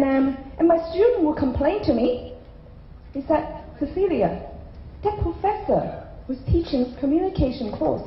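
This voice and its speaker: A woman's voice: high voice, a little hiss or hum. A middle-aged woman who grew up in Ireland gives a conversational conference keynote into a handheld mic, often checking in with "okay?". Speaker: keynote speaker. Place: large hotel conference hall. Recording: roomy hall sound, slightly dull.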